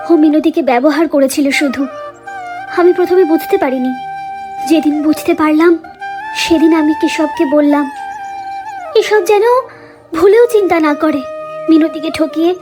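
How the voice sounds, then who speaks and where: A woman playing a guilt-ridden young village woman in a cartoon story, speaking in an earnest, insistent, confessional way. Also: very high voice. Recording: music behind the voice.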